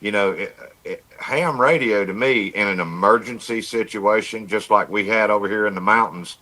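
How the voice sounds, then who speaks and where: Folksy man with a bright, forceful voice. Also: fairly low pitch, moderate pace. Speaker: amateur radio operator, livestream guest. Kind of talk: casual storytelling on a livestream chat.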